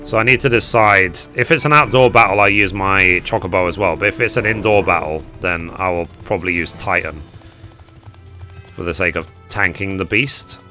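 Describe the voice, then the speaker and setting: A hoarse man talks casually while playing, hosting a gaming guide. His voice is low-pitched with a bright, forceful edge, and faint game music runs underneath. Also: normal speed. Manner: casual, chatty. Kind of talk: casual gameplay commentary.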